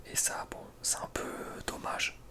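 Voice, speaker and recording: A young man speaks in a soft breathy whisper, giving casual, slangy ASMR gaming commentary with a hesitant delivery. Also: measured pace. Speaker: ASMR video creator.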